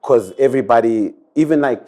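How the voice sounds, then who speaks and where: A man's voice: medium pitch, a little fast, dark tone. A man, a recording artist, reflecting in a rambling casual chat full of fillers. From South Africa.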